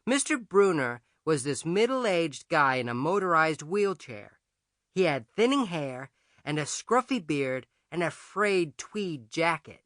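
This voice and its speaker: A man reads a first-person children's story in a boy's persona, with a bright tone and very lively pitch. His delivery is conversational and wry, recorded dry and close. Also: unhurried, dry recording. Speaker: audiobook narrator. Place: dry studio.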